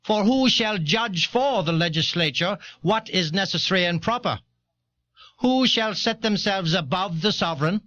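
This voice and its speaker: A man's voice: sits high, animated, moderate pace, sharp tone, no echo. A man playing an alarmed, insistent early American critic of government, delivering impassioned rhetoric on an old cassette. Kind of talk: dramatized reading of a historical polemic. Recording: dull, dry old audio cassette.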